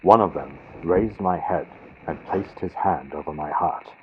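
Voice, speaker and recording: A man with a low voice reads gothic horror as scripted audiobook narration. The recording is lo-fi, like vintage radio, with a faint layer of noise from a built-in computer microphone. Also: a little slow.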